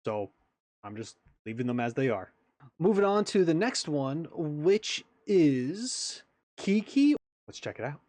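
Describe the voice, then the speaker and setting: A man's voice: a little high, very animated, unhurried, darkish tone, no echo. A man giving casual, off-the-cuff commentary as the host of a reaction video.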